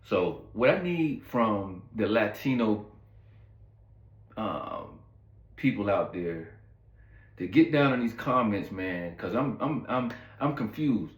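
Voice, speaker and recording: A man's voice: lowish voice, animated, takes it slowly, muffled recording. Laid-back man rambling through a story in a roomy echo. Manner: rambling, laid-back, heavy on fillers and asides to viewers. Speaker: channel host telling a story. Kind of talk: casual storytime monologue.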